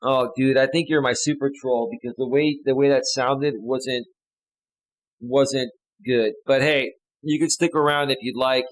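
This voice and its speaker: A man's voice: mid-range voice, steady tone, unhurried, poor audio. A man hosting a casual live stream as a life coach, joking loosely with his chat viewers. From United States.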